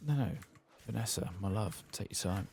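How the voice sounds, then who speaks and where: A man giving soft-spoken, sometimes whispered ASMR game commentary, murmuring casually to himself close to the mic and swearing now and then. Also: fairly low pitch, normal speed, creaky. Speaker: ASMR gaming streamer.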